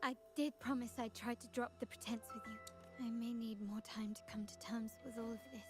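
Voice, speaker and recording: A woman plays a poised young heiress in a video game. Her voice sits a little high, and she speaks formally with calm resolve while game music runs underneath. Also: a little fast, close to an everyday voice. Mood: composed. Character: poised young noblewoman, family heir. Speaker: game character.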